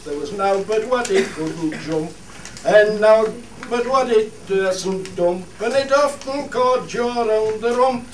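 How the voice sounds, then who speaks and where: A man acting a homely country singer with a Cumbrian accent, performing a comic dialect ballad in a radio play. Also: high voice. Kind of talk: dialect radio play, spoken verse with sung choruses. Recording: noisy, echoey room, distant microphone.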